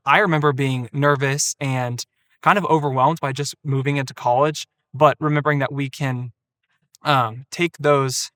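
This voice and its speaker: Young male student guest; orderly, explanatory, mid-pitched, dry recording. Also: a bit bright, no echo. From Atlanta, Georgia. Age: young adult. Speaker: student event coordinator. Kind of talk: interview answers.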